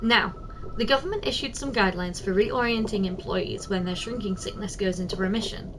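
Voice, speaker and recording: A woman playing a giant, doting, teasing office secretary in an ASMR roleplay, speaking softly and coaxingly straight to the listener. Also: medium pitch, fairly quick, noisy background, lightly acted. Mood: helpful, attentive. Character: giant, doting, teasing female office secretary, tender toward tiny boss.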